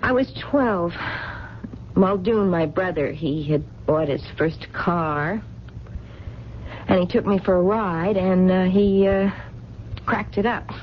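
Lonely, stubborn dreamer, muffled old radio. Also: female voice, on the low side, takes it slowly. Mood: wistful, hesitant. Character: lonely, stubborn, dreamy woman clinging to romance. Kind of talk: radio drama acting. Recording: muffled, noisy 1970s radio broadcast.

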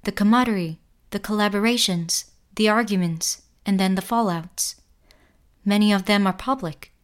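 A woman playing an inquisitive android who poses as a portrait sitter and confronts the artist in an audio drama, in a measured manner. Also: medium pitch, natural voice. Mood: accusing. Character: probing female android posing as portrait sitter.

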